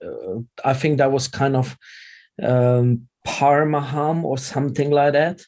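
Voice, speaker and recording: A middle-aged man speaks with a Czech accent at an average pitch. His tone is dark and relaxed, with little edge to it. He talks as a guest in a casual interview, in halting second-language English with broken phrasing. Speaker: interview guest, vegan chef and former radio presenter.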